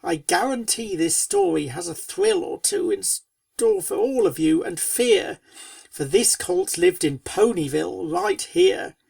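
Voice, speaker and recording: A woman's voice: mid-range voice, wildly swooping pitch, unhurried, vocal fry, a little mellow, no room sound, distant mic, exaggerated voice. A woman playing a pony storyteller who tells a spooky storybook tale in verse to young foals, with dramatic, schoolteacherly delivery. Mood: spooky, theatrical. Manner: dramatic, schoolteacherly, chiding asides. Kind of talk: theatrical storybook narration in verse.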